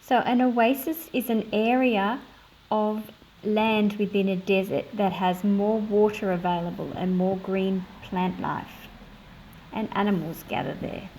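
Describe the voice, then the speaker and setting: A woman with an Australian accent presenting a picture book for children, explaining things in simple, clear phrases. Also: mid-range voice, a little mellow, rough recording. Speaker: read-aloud host for children.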